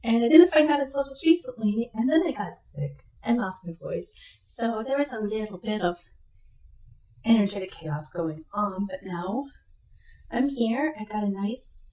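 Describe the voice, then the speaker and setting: A woman's voice: medium pitch, animated, normal speed, distant mic. A woman doing a casual tarot reading on camera, chatty and meandering, with a slight leftover cough. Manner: chatty, meandering, soft-spoken asides. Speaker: tarot reader. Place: home room with webcam. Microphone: new desk microphone. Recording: echoey room, voice a bit off-mic.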